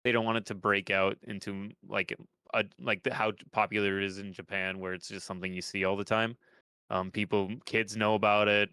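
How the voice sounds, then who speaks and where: A man's voice: on the low side, flat delivery, fairly quick, bright, forceful tone, clean tone, dry recording. A young man with a stuffed-up nose, chatting on a podcast in a rambling way, with lots of restarts and casual swearing. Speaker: podcast host.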